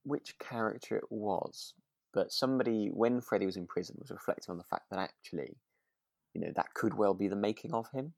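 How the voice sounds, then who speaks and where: A man's voice: on the low side, a little fast, vocal fry, darkish tone, no room sound, studio quality. A British man co-hosting a podcast, casually recapping and often rephrasing as he goes. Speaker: podcast co-host. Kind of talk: casual chat about a radio drama.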